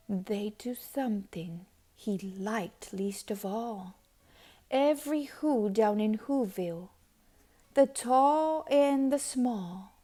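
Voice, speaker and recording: A woman reading a children's story aloud expressively, with deliberate dramatic pauses and emphasis. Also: medium pitch, warm tone. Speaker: storybook reader.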